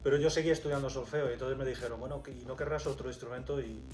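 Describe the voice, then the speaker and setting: A man from Murcia, a bass clarinetist, telling a quick anecdote in an interview. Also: steady tone, a little mellow, distant mic, soft background music. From Yecla, Murcia, Spain. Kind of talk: interview answers. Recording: echoey room.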